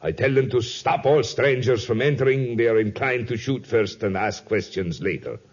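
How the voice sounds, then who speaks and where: A man's voice: medium pitch, normal speed, husky, lightly acted. An actor playing a domineering, suspicious plantation owner and father in a 1950s radio drama, formal and self-justifying in manner. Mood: grave. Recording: dull vintage 1950s radio recording.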